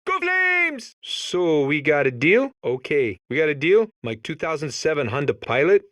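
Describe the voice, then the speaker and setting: Sheepish, higher-pitched Canadian man. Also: very animated, normal speed, fairly bright tone, no echo, studio quality. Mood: nervous, pleading. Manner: sheepish, hesitant, pleading. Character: nervous, apologetic Canadian male robot, meek bargainer. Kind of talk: comedic video game character acting.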